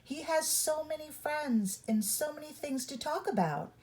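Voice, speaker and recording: A woman reading a children's picture book aloud as a storyteller, with deliberate, instructive read-along phrasing for young listeners. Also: high-pitched, lively intonation, a little mellow.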